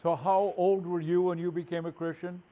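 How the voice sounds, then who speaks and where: Male interview host, fairly high voice, friendly short prompts. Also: level tone, normal speed, dark tone, highs cut off. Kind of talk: video call interview.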